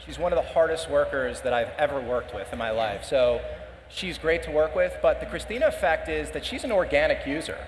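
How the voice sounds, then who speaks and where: A man, a startup co-founder on a panel, explaining in a measured way with some fillers. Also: fairly high pitch, animated, slight background noise. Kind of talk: panel conversation. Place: conference stage in a large hall. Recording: roomy hall reverb, faint ambience.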